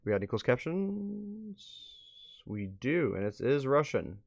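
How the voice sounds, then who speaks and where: A man's voice: on the high side, very animated, a little slow, a little mellow, highs cut off. A man live streaming a game, moving between reading on-screen text aloud and offhand, muttered reactions.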